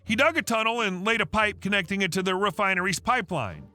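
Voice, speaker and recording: A man narrating online stories in a steady, even read-aloud delivery. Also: sits high, fast talker, soft background music.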